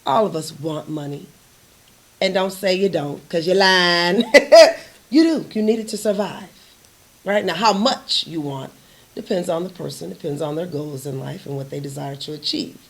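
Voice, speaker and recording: A woman hosting an interview, affirming her guest warmly and speaking to her listeners with lively emphasis. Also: lowish voice, moderate pace, a little hiss or hum. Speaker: host.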